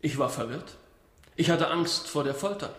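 A man plays a nervous, evasive witness in a period radio play. His voice is fairly high and carries strong room echo. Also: lively intonation, normal speed, lightly acted. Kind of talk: radio drama acting.

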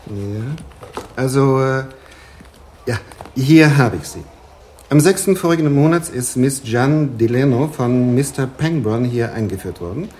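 An actor playing an obliging, courteous older bank manager in a radio play, speaking politely and precisely. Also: male voice, medium pitch, a little slow, darkish tone, slight background noise, close to an everyday voice. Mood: precise, reading out.

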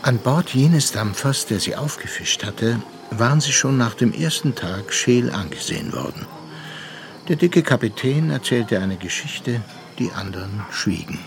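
An actor playing a grave, probing storyteller, narrating a literary radio drama in a measured, deliberate way. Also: male voice, medium pitch, lively intonation, creaky.

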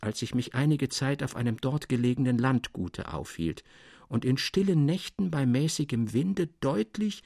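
A man reading literary prose aloud as a story narrator, with a creaky voice and a formal, expressive delivery. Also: on the high side, no echo, studio quality. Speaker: audiobook narrator.

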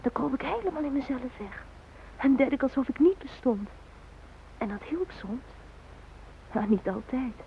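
An actress in a radio drama playing a grieving young woman who speaks haltingly and in anguish to her dead fiancé. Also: high-pitched, expressive, vocal fry, dark tone, a little hiss or hum. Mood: quiet, wistful. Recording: dull, lo-fi old radio recording.

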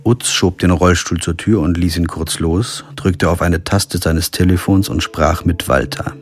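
Low-voiced, dry male lawyer narrator. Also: a little slow. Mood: matter-of-fact. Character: wry, pragmatic lawyer narrating his own crime story. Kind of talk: scripted first-person narration and radio drama dialogue.

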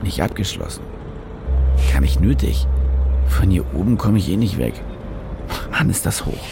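An actor playing a cynical, desperate German war reporter in a radio drama, muttering and brooding as if to himself. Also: male voice, low-pitched, big pitch swings, normal speed, vocal fry, loud music behind the voice, heavily acted. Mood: bitter, bewildered. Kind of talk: radio drama acting, interior monologue.

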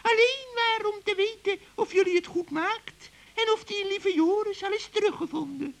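Guy doing a super high shrill old witch, sly, whiny and sucking up, kids radio play. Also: vocal fry, fairly bright tone, heavily acted. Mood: fawning, wheedling. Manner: drawn-out pleading and fawning.

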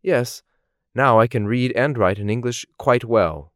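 A man reading scripted English course dialogues in a slow, clearly articulated, measured way. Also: mid-range voice, no room sound, studio quality. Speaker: language course voice actor.

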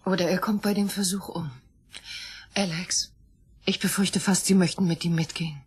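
A woman's voice: on the low side, fairly even intonation, normal speed, a little mellow, breathy edge. A woman playing a serious, worried scientist of a space crew in a radio play, speaking earnestly and with urgency. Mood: anxious.

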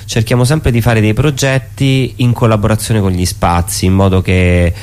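A man speaking as a theatre performer in a casual radio interview, explaining things in a wordy, halting way. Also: lowish voice, steady tone, a little mellow.

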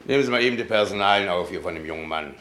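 A man's voice: low voice, very fast, sharp tone, a little hiss or hum. A man playing a stolid, bureaucratic police inspector in a German radio play, speaking with dismissive, pedantic authority. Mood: stern, unhurried.

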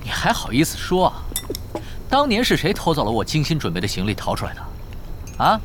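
A lively, bantering young man. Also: a little high, a little fast, a little hiss or hum. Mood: bickering. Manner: bantering, expressive. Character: young man, teasing twin brothers bickering over dinner. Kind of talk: Chinese audio drama acting.

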